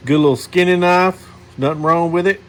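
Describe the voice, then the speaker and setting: A man's voice: fairly high pitch, fairly even intonation, normal speed. A man, hosting a casual knife video and rambling to his viewers with lots of fillers and restarts. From Missouri, USA. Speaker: knife channel host.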